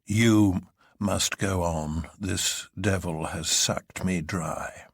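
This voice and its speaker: A man voicing an elderly professor in a story, laboured and elaborately calm, dragging out his words. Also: deep voice, drawn-out words, creaky, husky, no room sound, clean studio sound, full character voice. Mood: weary. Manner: drags words out ponderously.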